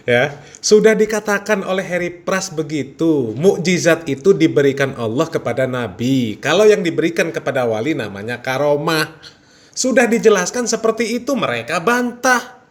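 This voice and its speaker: A man's voice: fairly high pitch, expressive, moderate pace. A man hosting a channel, giving casual religious commentary to viewers in a mocking, amused way.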